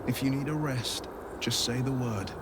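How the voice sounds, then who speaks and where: A good-natured young male monster hunter from a fantasy game, acted by a man, speaking at a middle pitch. He is gallant and earnest, with folksy phrasing. Ambient noise is clearly heard in the background. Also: moderate pace, natural voice. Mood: reassuring. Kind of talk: video game character acting.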